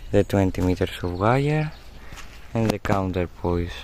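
A young man giving a hands-on tutorial in a halting, hesitant way, pausing often as he explains. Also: sits low, lively intonation. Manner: halting, hesitant delivery with pauses. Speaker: amateur radio hobbyist presenter. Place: outdoors in a rural garden. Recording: outdoor phone recording with faint noise.

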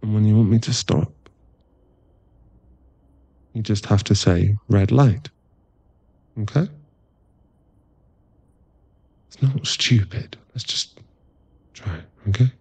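A man as a husky, slow-talking werewolf boyfriend. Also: on the low side, dry recording. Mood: playful, teasing. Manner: soft, coaxing, halting delivery. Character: affectionate, teasing, apologetic werewolf boyfriend. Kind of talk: intimate ASMR boyfriend roleplay. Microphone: close microphone.

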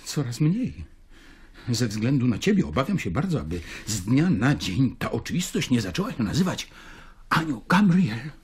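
An actor playing a troubled, devout Judean councillor in an audio drama, with a husky, breathy voice and solemn, emphatic delivery. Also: male voice, mid-range voice, big pitch swings, moderate pace, a little mellow, lightly acted. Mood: calm, knowing. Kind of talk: literary audio drama acting.

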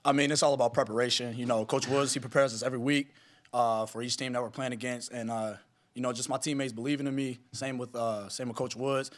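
Modest young athlete at the podium. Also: male voice, medium pitch, fairly even intonation, quick pace. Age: young adult. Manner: plain, modest, repeats himself. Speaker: college special teams player. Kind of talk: postgame press conference answers. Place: press room in front of a sponsor backdrop. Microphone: podium mic.

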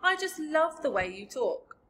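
A woman's voice: sits high, big pitch swings, unhurried, fairly bright tone, a little hiss or hum, pitched above the performer's own voice, heavily acted. A woman voicing a polite, curious, plucky little girl in a storybook. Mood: kind, reassuring. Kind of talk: storybook character voice.

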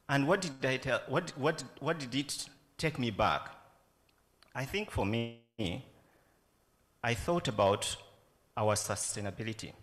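A man's voice: fairly low pitch, a bit bright, long reverb tail. A man in his forties with a Ugandan accent, speaking earnestly into a stand mic at a festival panel, often asking reflective questions. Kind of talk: panel talk. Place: conference room with a yellow banner.

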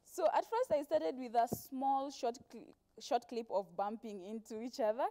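A woman's voice: on the high side, normal speed, dark tone. A woman in her twenties with a Kenyan accent, chatting casually as a guest in a TV interview and telling stories with quoted speech. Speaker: guest, actress. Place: TV studio, seated on a sofa. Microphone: clip-on lapel mic.

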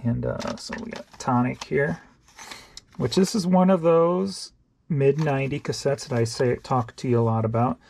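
A man with a gravelly, low-toned voice rambles offhandedly, thinking aloud as he goes. His tone is dark and mellow, and faint clicks and noise sit behind him. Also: normal speed. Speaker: online reseller. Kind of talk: casual show-and-tell vlog.

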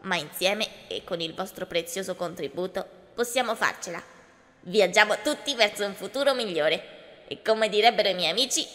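A woman's voice: medium pitch, normal speed, a bit bright, natural voice. A woman playing an earnest young activist giving a rousing speech in an anime fan drama, with hall reverb.

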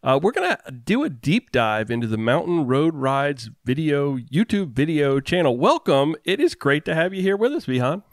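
A relaxed man hosts a podcast interview from his home over a remote call. He speaks into a podcast mic while wearing headphones, asking his questions at an easygoing, conversational pace. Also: a little high, normal speed, few pauses, dry recording, studio quality. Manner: easygoing, asks questions, chuckles along. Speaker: podcast host.

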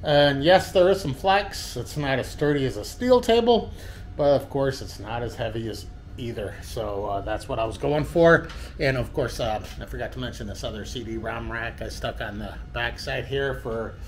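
A man casually walking through his homemade workshop table, talking haltingly with many fillers and restarts, recorded on a handheld camera indoors. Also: mid-range voice. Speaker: DIY vlogger. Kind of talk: casual walkthrough of a workshop build.

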